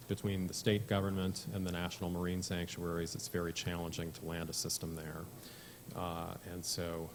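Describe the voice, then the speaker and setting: Low, flat-voiced man presenting policy. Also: a little hiss or hum. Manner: measured, frequent fillers. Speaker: conference speaker.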